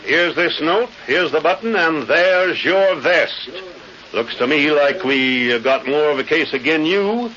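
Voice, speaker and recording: An actor playing a shrewd, authoritative sheriff with a folksy American accent in a 1940s radio western. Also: male voice, a little high, expressive, pushed and bright. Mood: accusing, firm. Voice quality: folksy rural diction. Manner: deliberate, authoritative. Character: shrewd, commanding Old West sheriff, unhurried and firm. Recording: muffled, hissy old radio recording.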